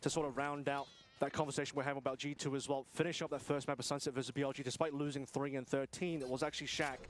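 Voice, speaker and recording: A man casting a professional esports match with rapid, steady analytical commentary. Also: mid-range voice, slight background noise. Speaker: esports caster. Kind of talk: play-by-play commentary.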